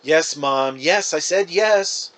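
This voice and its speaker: A man's voice: on the high side, slow pace, a bit bright, pitched above the performer's own voice. A man voicing a reluctant, argumentative schoolboy, the older brother in a storybook, exasperated and insistent. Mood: resigned.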